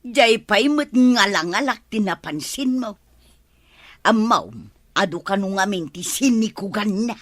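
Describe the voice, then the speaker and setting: A woman's voice: mid-range voice, unhurried, fairly bright tone. A woman playing a teasing village gossip in an Ilocano radio comedy drama, with an Ilocano accent and exaggerated, taunting delivery. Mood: gossipy.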